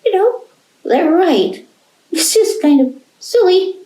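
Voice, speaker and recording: Woman doing a very high, whiny squeaky cat. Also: animated, slow pace, warm tone, reverberant, full character voice, low-quality recording. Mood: amused. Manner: squeaky, hesitant whining. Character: whiny, excitable, defensive cat.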